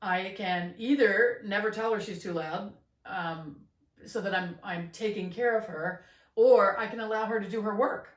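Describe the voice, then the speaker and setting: A middle-aged woman co-hosting a podcast, talking in an earnest, gentle way with many fillers and restarts. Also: mid-range voice, normal speed. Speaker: podcast co-host. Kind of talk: reflective casual chat. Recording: roomy, slightly distant mic.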